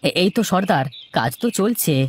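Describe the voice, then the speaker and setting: A man's voice: sits high, animated, brisk, breathy edge. A man voicing a hardworking, affectionate village husband in a cartoon, with a homely, conciliatory manner. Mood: placating. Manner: homely, good-natured, conciliatory. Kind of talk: cartoon dialogue acting. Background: background music.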